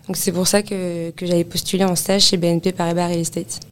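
A woman's voice: on the low side, brisk. A woman in her twenties from Paris, talking easily about her career in a podcast interview, with frequent hesitation fillers. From Paris, France. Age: 20s. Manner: relaxed, conversational, frequent "euh" fillers. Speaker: office real estate consultant, guest.

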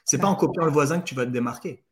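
A man speaking as a guest on a live talk, relaxed and casual, often repeating words as he goes. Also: on the high side, rapid-fire. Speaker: guest storyteller.

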